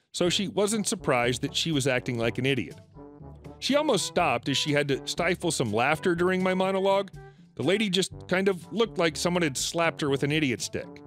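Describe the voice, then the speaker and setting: A man narrating reddit retail stories aloud, reading in a lively, animated way. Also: a little fast, faint music behind. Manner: lively, animated reading with shifting pitch for quoted customers. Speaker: story narrator.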